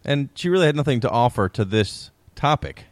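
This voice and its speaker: A man hosting a podcast, wry and steady while refereeing his co-hosts. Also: mid-range voice, brisk, no room sound. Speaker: podcast host. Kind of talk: casual podcast chat.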